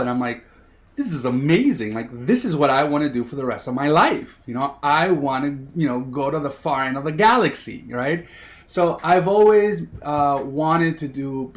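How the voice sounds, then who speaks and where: A man in his thirties from Miami, a sports producer telling his story as a guest, eager and rambling with many fillers. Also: mid-range voice. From Miami, USA. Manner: eager, rambling, heavy on "you know" fillers. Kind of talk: casual interview storytelling.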